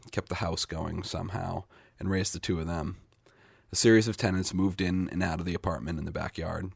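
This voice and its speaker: A man's voice: deep voice, creaky, husky, no room sound, studio quality. A man reading literary short fiction aloud as a scripted narration. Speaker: short story reader.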